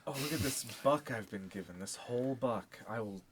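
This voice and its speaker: A man's voice: medium pitch, animated, a little fast, noisy background. A man co-hosting a podcast, chatting casually about music in a slangy, rambling way peppered with filler words. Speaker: podcast co-host.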